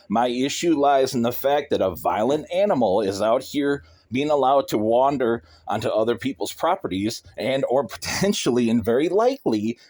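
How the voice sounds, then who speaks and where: A man's voice: medium pitch, darkish tone. Stern, insistent man arguing. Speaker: pet owner confronting a neighbour. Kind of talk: argumentative phone conversation.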